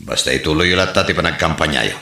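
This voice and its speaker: A man's voice: extremely low pitch, lively intonation, quick pace, creaky, sharp tone, roomy sound, exaggerated voice. A man playing an authoritative political boss who gives commanding instructions in a comedy audio drama. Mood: instructing. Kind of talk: comedy radio drama acting.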